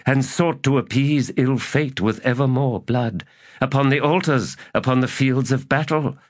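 A man voicing a bitter, ambitious one-eyed male elder god who speaks in a forceful, scornful and commanding way. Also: mid-range voice, moderate pace, pitched above the performer's own voice, close to an everyday voice. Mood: scheming. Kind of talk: audiobook character reading.

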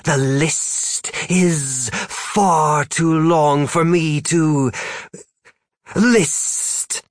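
A man voicing an evil female demon in an audiobook story, theatrical and menacing, with breathy, drawn-out hissing S sounds. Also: on the high side, no room sound, studio quality, pitched above the performer's own voice. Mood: haughty menace. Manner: slow, grandiose, cackling menace.

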